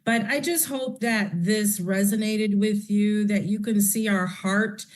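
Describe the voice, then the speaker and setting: A woman's voice: medium pitch, fairly even intonation, a little slow. A woman presenter speaking earnestly and reflectively in an online session. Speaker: conference presenter. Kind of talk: closing remarks in an online session. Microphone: video call.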